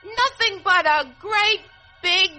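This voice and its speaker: Plucky young boy, very high, exclamatory, defiant, old children's radio adventure. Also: slow, sharp tone, faint music behind, full character voice. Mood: bold, scornful. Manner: earnest, exclamatory, bold.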